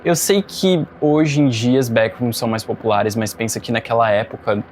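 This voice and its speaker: A man's voice: mid-range voice, normal speed, a little mellow. Brazilian man narrating over faint noise. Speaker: video essay host and narrator. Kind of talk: informative scripted narration on horror topics.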